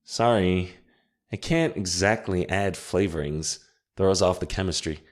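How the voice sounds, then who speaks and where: A man voicing a calm, steady female witch in an audiobook, speaking in a measured, reassuring way. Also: low voice. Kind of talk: audiobook character voice.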